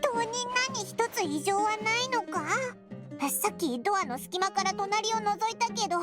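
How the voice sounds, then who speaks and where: Anime game role: very high, animated, childlike fairy companion, chatty and curious. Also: female voice, moderate pace. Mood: puzzled. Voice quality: squeaky, childlike. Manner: casual boyish speech. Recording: background music under the voice.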